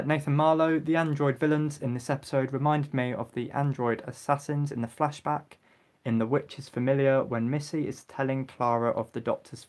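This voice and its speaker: A young man chats casually on a live stream, reading comments aloud and answering each one. His voice sits at an ordinary pitch, and he keeps filling pauses with ums. His throat is getting sore, and now and then he clears it. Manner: chatty, frequent ums, clears throat and coughs.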